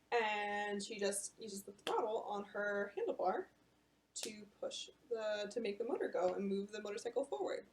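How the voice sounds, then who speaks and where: A woman librarian reading a picture book aloud at bedtime storytime and explaining it to children in an animated way. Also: medium pitch.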